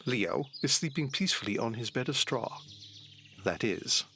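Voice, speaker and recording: A man's voice: mid-range voice, animated, moderate pace, sharp tone. Male narrator with music behind. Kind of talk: scripted audio drama narration.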